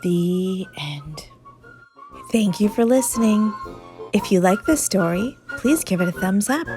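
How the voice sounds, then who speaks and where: A woman reading a children's storybook aloud as an expressive narrator. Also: mid-range voice, measured pace. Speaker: story reader, channel host. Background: music and sound effects.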